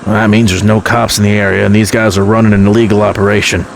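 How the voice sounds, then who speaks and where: An actor playing a wry, cool-headed long-haul trucker who talks in folksy slang. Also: male voice, on the low side, little pitch movement, fast, vocal fry. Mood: cool, explaining. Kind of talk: naturalistic audio drama dialogue. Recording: noisy truck cab with engine. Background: vehicle engine.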